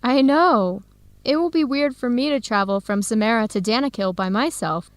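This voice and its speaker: An actress playing an eager, earnest young Ethiopian-American student researcher in a radio drama for English learners, speaking clearly and in measured phrases. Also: female voice, fairly high pitch, no echo, lightly acted. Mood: friendly, upbeat. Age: young adult.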